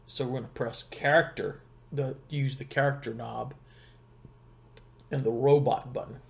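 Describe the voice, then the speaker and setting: Middle-aged male tech presenter, mid-range voice, informal step-by-step explaining, pauses to think as he goes. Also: mid-range voice, steady tone, vocal fry. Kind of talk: casual step-by-step gear tutorial. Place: home computer desk in a wood-panelled room. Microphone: desktop condenser mic about two feet away.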